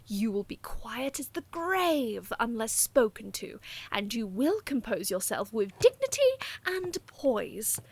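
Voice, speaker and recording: A woman's voice: a little high, very animated, slow, a little hiss or hum, exaggerated voice. A woman voicing a fussy, commanding noble mother with a theatrical manner. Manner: theatrical, bossy.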